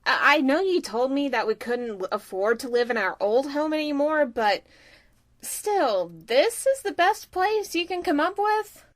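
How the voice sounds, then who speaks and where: A sullen teenage girl, acted by a woman in an audio drama. She drags out her snide remarks in a high voice, with no room sound around her. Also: animated, measured pace, dry recording, clean studio sound. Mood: disgusted. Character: sullen, sarcastic teenage daughter. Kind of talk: fan audio drama acting.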